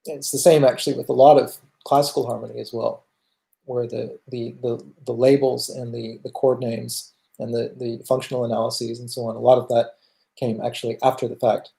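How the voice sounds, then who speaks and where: A man with a Canadian accent answering viewer questions in casual livestream talk, thoughtful and halting as he goes. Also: medium pitch, little pitch movement, gravelly, dark tone, low-quality recording. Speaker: music channel host answering viewer questions.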